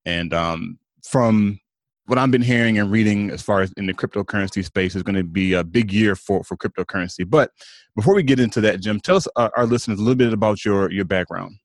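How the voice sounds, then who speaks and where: Low-voiced podcast host, dry sound. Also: male voice, brisk, no echo. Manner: hesitant, many fillers and restarts. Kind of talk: podcast interview hosting.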